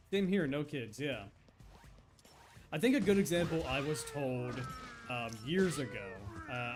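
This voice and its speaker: Lively thirty-something man streaming a game. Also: on the high side. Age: 30s. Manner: rambling, earnest, with restarts and chuckles. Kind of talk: casual live-stream chat.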